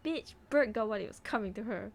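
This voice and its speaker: A woman's voice: fairly high pitch, lively intonation, a little fast, a little hiss or hum. A woman streaming a game, reading the dialogue aloud in an amused, mock-serious way.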